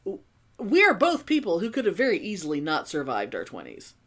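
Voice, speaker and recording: A woman's voice: on the low side, brisk. A woman in her fifties chatting casually as a podcast co-host, swearing freely and telling stories with energy. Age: 50s. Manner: profane, animated storyteller. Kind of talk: casual chat.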